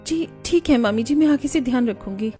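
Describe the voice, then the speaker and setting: A woman voicing a dutiful young daughter-in-law in a cartoon, given to expressive grumbling. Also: on the high side, a little fast, slightly rough, faint music behind. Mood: obedient.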